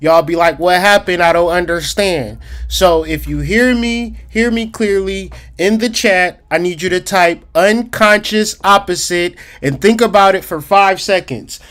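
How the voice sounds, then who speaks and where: High-voiced man, streetwise livestream talk. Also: fairly bright tone. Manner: streetwise, slangy, addresses chat directly; emphatic, slows down to stress points. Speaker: livestream host. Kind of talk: casual livestream talk on psychology.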